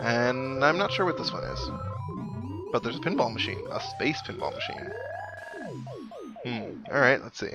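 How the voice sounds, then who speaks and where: A man's voice: medium pitch, wildly swooping pitch, normal speed, vocal fry, long pauses. A man giving casual, wry let's play commentary on a video game, thinking aloud with dry humour. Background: video game music.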